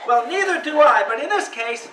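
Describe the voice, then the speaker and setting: Casting: keen, courteous teen boy for radio comedy, very high boyish squeak, recorded at a distance in an echoey room. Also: lively intonation, a little fast, very noisy. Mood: eager, boyish. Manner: earnest, boyish, exclamatory. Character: eager, polite, squeaky teenage schoolboy. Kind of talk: old-time radio comedy acting.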